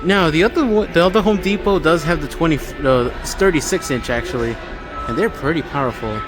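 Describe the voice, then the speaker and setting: A man's voice: a little high, a little fast. A man narrating a casual walkthrough of a large store, thinking aloud in a rambling, observational way. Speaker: vlogger. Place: inside a large home improvement store. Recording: music playing in the background. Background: store music from overhead speakers.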